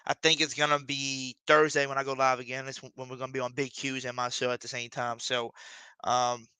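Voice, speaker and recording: A man closes out his sports podcast in a relaxed, drawling talk full of fillers. His voice is bright and clean, held to a flat, even pitch, and it sounds close and dry with no room around it. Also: fairly quick, smooth, dry recording. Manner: laid-back, drawling, with many fillers. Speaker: podcast host. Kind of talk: casual sign-off to viewers.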